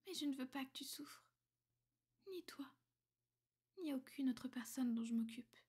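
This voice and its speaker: A woman playing a centuries-old vampire girlfriend in an ASMR roleplay, soft and intimate, often whispering, refined, tender and possessive. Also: high-pitched, measured pace, husky. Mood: tender, protective.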